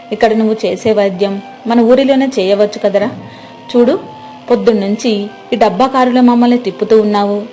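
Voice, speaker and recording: A woman playing an elderly village mother and wife in a moral story, practical and protective, with an expressive, chiding manner. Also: fairly high pitch, lightly acted. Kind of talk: dramatized moral story acting.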